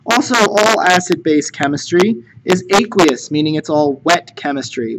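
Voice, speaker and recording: A man teaching chemistry in a recorded lecture, conversational and jokey. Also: on the high side. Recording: noticeable background noise.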